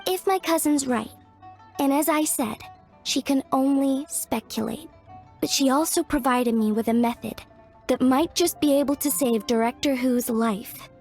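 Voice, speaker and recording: A woman's voice: sits high, measured pace, a little mellow, soft background music. A woman voicing an earnest young craftswoman in a video game, explaining things carefully.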